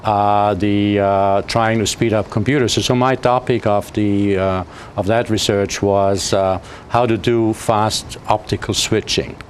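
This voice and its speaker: Austrian man, 50s, low-pitched, hesitant guest, faint hiss. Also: steady tone. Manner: halting, many fillers and restarts. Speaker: physics professor, guest. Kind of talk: interview answers.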